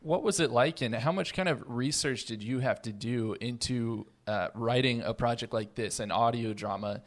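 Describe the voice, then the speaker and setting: Mid-pitched man hosting an interview. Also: normal speed. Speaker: podcast host asking questions. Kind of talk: casual interviewing.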